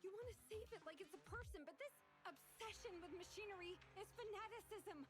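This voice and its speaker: A woman's voice: very high voice, expressive, fairly quick, music in the background. An actress voicing a nervous young woman engineer in video game dialogue, stammering and defensive. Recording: game score under the voice.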